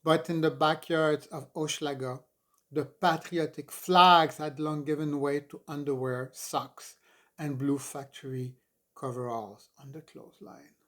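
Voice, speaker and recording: Slow, higher-voiced older male storyteller. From Montreal, Canada. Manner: expressive, deliberate, emphatic storytelling. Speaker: author reading own memoir. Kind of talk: dramatic audiobook narration.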